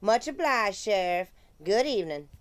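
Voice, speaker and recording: A woman's voice: mid-range voice, big pitch swings, moderate pace, lightly acted. A woman playing a fussy, indignant townswoman of the Old West, folksy and dramatic, in a radio western. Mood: satisfied.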